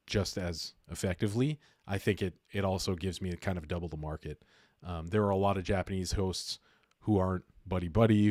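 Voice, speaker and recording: A man's voice: low-pitched, a little mellow, no echo, studio quality. A man hosting a casual livestream, talking informally and thinking aloud as he goes. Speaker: livestream host. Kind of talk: casual livestream chat about investing.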